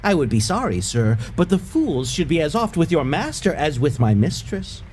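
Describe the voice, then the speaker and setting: A man's voice: on the high side, big pitch swings, normal speed, a little mellow, a little hiss or hum. A man playing an impudent, riddling jester in a Shakespeare audio play, with a teasing, lilting, theatrical delivery. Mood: impish, mocking. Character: impudent, riddling male jester, a wordplaying fool.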